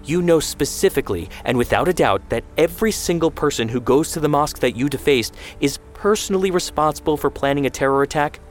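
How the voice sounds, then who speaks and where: A man narrator takes the part of a worried mother in a family story. She is steely and controlled, speaking in firm, measured challenges with a bite underneath. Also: fairly low pitch, slight background noise, close to an everyday voice. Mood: challenging, heated.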